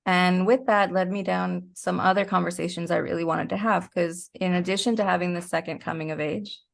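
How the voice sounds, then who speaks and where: A woman with a fairly low voice and plenty of vocal fry talks as a podcast guest. She is middle-aged and explains things in a reflective, conversational way, lightly amused as she goes. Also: steady tone, brisk. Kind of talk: casual interview conversation.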